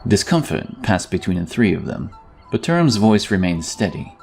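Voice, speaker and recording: A man with a low, gravelly voice narrates a fantasy story. His delivery rises and falls expressively, and faint music plays behind him. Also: big pitch swings, normal speed, a little mellow. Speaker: audiobook narrator.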